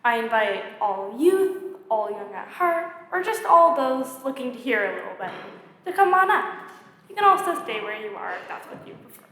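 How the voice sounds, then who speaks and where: A woman's voice: on the high side, very animated, normal speed, fairly bright tone. A woman leading worship, reading a story aloud to a congregation in a church. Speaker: church worship leader telling a children's story. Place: large church sanctuary. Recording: echoey church hall with long reverb.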